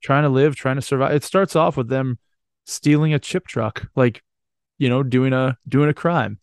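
A man's voice: medium pitch, moderate pace, clear, resonant tone, no room sound. A man hosting a podcast in casual chat, rambling and joking, with a casual swear now and then. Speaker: podcast host.

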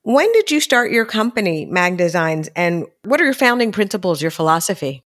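A woman's voice: lowish voice, sing-song, fairly quick. A woman hosting a property podcast, reading formal introductions and asking interview questions. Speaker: podcast host.

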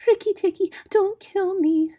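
A woman's voice: sits high, measured pace, mellow, voice pushed up. A woman voicing a timid, broken-hearted little male muskrat in a storybook, whimpering, pleading and weepy.

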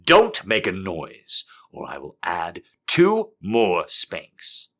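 A man voicing a dominant adult man who gives calm, deliberate commands in a low, slow voice. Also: exaggerated voice. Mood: stern.

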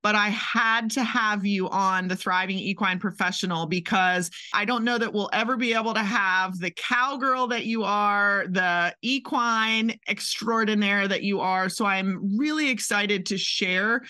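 A woman's voice: mid-range voice, unhurried, few pauses, sharp tone. A woman from Maryland hosting a podcast interview, chatty and enthusiastic. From Maryland, USA. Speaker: podcast host.

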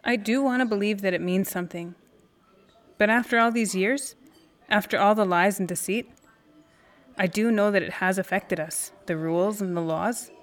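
A woman's voice: mid-range voice, lightly acted. A woman acting as an earnest, indignant friend talking emphatically over coffee in a naturalistic audio drama. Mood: earnest, pained.

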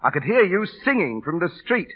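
An actor playing a cocky, teasing but kindhearted working-class London busker, in theatrical old radio drama style. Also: male voice, sits high, big pitch swings, brisk, breathy edge, heavily acted. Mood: breezy, bantering. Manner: theatrical, wry, colloquial. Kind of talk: 1930s-40s radio drama acting. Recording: muffled old radio broadcast recording.

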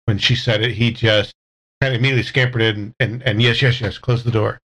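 A man's voice: medium pitch, fairly even intonation, brisk, fairly bright tone, low-quality recording. A man taking part in a tabletop role-playing game, narrating and speaking for his character casually.

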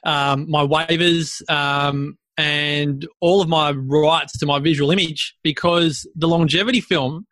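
Man, podcast host, upbeat and bright, fairly high voice, dry close sound. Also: fairly even intonation, slow, few pauses, no room sound. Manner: upbeat, hyping introductions. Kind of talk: casual podcast chat.

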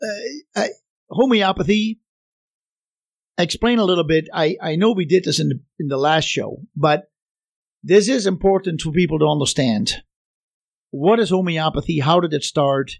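A man with a foreign accent hosting a radio interview, talking in a halting way with many restarts. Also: on the high side, creaky, no echo. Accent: foreign accent, not specific. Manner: hesitant, many restarts and fillers. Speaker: radio show host.

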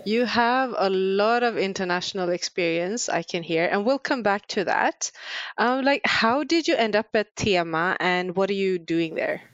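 A woman's voice: mid-range voice, lively intonation, moderate pace, smooth, no room sound, lo-fi sound. A woman hosting a podcast, asking interview questions in a conversational style.

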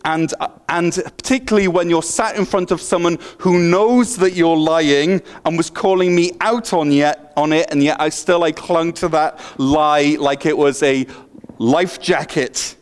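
A man's voice: a little high, a little slow, clean tone. A middle-aged man from Wales with a Welsh accent, preaching a sermon in a joking, self-deprecating way, with a slight stutter and frequent restarts. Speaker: pastor.

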